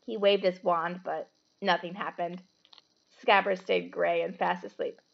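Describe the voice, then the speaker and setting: A woman's voice: fairly low pitch, steady tone, a little slow, creaky, muffled recording. A woman storyteller reading a children's book aloud expressively, with the odd casual aside.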